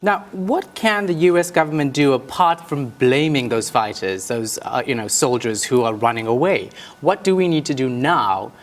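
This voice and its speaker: A man's voice: mid-range voice, normal speed, hardly any pauses. A man presenting broadcast news as an anchor and reporter, in a scripted delivery.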